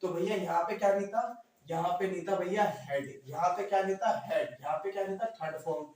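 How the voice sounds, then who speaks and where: A male teacher with a sore throat drills his class, asking each question twice and answering it himself. His voice sits high for a man. He sounds distant from the mic, in a room full of echo. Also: fairly even intonation, a little fast, a little mellow. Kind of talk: classroom grammar lesson.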